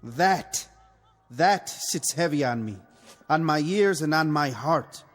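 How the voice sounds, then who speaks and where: An actor playing a conscience-stricken rebel officer, weary of killing and bitterly sardonic, in a radio drama. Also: male voice, on the high side, animated, in a large hall, soft background music. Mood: grieving. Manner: brooding, sardonic, deliberate emphasis.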